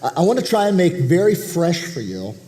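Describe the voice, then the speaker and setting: Nasal-voiced pastor with a cold. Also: male voice, a little high, big pitch swings, a little fast, dark tone. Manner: conversational, with frequent restarts and throat clearing. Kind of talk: sermon. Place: church auditorium.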